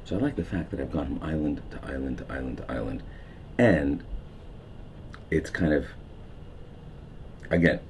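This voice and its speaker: A man's voice: a bass voice, gravelly, a little hiss or hum. A middle-aged man with a New York accent, reflecting at length in a documentary interview, musing and meandering with fillers and restarts. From United States. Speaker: documentary subject. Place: houseboat on a river.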